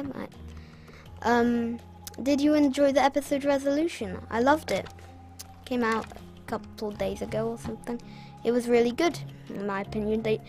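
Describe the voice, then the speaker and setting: A young woman from Britain talks her way through a survival game in a loose, rambling commentary. Her voice sits a little high, and she drifts into self-mocking jokes. Soft music plays underneath. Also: vocal fry. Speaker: gaming channel host. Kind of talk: casual gameplay commentary.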